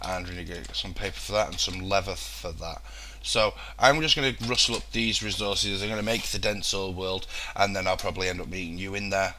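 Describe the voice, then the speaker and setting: Young man, deep voice, sharp edgy tone, rambling gameplay commentary, throat infection. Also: steady tone, brisk, slight background noise. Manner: rambling, many ums and restarts. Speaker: let's play host.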